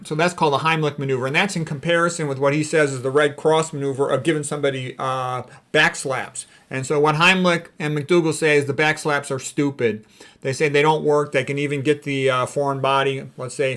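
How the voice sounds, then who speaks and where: A man's voice: medium pitch, fairly even intonation, fairly quick, a bit bright. A man speaking as a doctor in an informal lecture, reading out quotes and commenting on them in a blunt, emphatic, opinionated way. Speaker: doctor commenting on diet and medicine.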